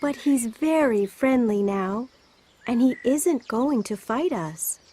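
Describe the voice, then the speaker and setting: Girl actor as a clever storybook princess, american, composed and pretty high, takes her time. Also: lively intonation, takes it slowly, warm tone, a little hiss or hum. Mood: composed, decisive. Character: clever, brave young princess disguised as general. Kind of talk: storybook dialogue.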